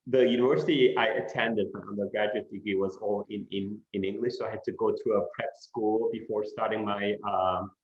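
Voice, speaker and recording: A middle-aged man from Turkey, a professor answering interview questions in an unhurried way, with many "you know" fillers. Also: fairly low pitch, steady tone. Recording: echoey room, dull lo-fi sound.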